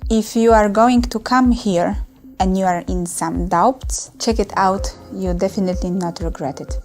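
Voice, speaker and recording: A woman's voice: medium pitch, measured pace, darkish tone, soft background music. A woman speaking in a loose, unscripted way, with hesitations and non-native phrasing, about her working life in Denmark. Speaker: foreign worker in Denmark. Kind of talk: testimonial interview.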